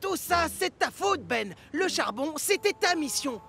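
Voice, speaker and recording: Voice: high-pitched, big pitch swings, unhurried, vocal fry, bright, forceful tone, exaggerated voice. An actor voicing mischievous, boyish twin tank engines in a French cartoon dub, teasing and boastful. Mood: squabbling. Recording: music behind the voice.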